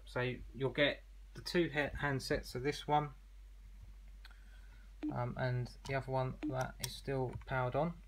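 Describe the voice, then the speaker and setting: A man's voice: mid-range voice, level tone, a little slow, slight background noise. A man giving an informal product demonstration as an online seller, explaining in a conversational, slightly hesitant way.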